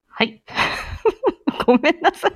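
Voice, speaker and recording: A woman's voice: very high voice, big pitch swings, slow, faint music behind. A woman hosting a casual live chat with announcements, talking in a polite, flustered, giggly and self-deprecating way. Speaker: livestream host.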